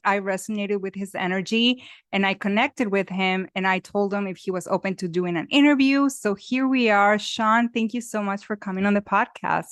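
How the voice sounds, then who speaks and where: A woman's voice: medium pitch, fairly bright tone, clean tone, dry recording, studio quality. A woman from Mexico with a Mexican accent, hosting a podcast interview in an eager, chatty way. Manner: eager, chatty, laughs easily. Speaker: podcast host. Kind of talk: casual interview chat.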